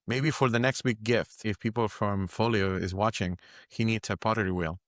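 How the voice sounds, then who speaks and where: A man's voice: lowish voice, fairly even intonation, moderate pace, a bit bright, no room sound, studio quality. A man hosting a podcast who speaks English as a second language and asks his questions in a teasing, self-deprecating way. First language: not English. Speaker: podcast host. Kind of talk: casual interview.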